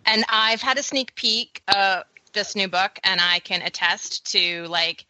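A woman from Canada co-hosts a podcast in a bright, crisp voice recorded dry and close with no room sound. She is playful and enthusiastic, speaking at a middle pitch. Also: measured pace, no room sound, rough recording. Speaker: podcast co-host. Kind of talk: casual podcast chat.

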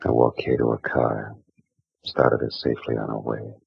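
A gravelly hard-boiled private eye. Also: male voice, very deep voice, level tone, moderate pace, dry recording, heavily acted. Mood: weary. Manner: dry, laconic narration. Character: hard-boiled male private eye, world-weary, wry. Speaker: private detective narrator. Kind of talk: 1950s radio drama acting. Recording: dull old radio recording.

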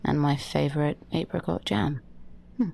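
An actress playing a needy, fragile young woman in an audio drama, murmuring softly and haltingly. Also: low voice, creaky, full character voice. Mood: soft, pleased. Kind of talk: naturalistic audio drama acting.

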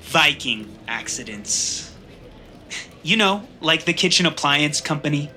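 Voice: medium pitch, animated, slow, pushed and bright, soft background music, full character voice. An actor playing a smug, demanding, whiny young office boss in a scripted audio drama, dramatic and exasperated.

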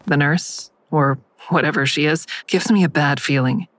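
A woman's voice: sits low, normal speed, lightly acted. A woman playing a guarded, bitter adult daughter in an audio drama, terse and confrontational. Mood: guarded, reflective. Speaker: lead actor narrating and playing a daughter. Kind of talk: audio drama acting with first-person narration.

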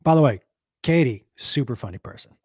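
Host, male, hoarse scratchy voice, halting rambling delivery, lively upward-swinging pitch, dry close sound. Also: no room sound. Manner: rambling, halting, many restarts. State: hoarse, losing his voice. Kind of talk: casual podcast chat.

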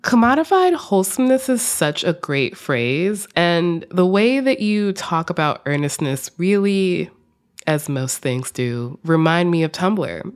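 A woman hosting a podcast in a conversational style, addressing her guest and listeners. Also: fairly low pitch. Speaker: podcast host.

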